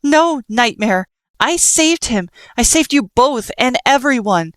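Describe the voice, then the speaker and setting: A woman voicing a patient, sorrowful child who sees everything and speaks softly and earnestly. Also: mid-range voice, fairly even intonation, moderate pace, no room sound, natural voice. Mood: urgent. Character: calm all-seeing child, sorrowful and patient.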